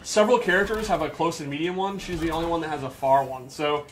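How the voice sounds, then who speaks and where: A man's voice: a little high, fast talker, a little hiss or hum. A man giving casual gameplay commentary, explaining things enthusiastically. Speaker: presenter of a game character breakdown.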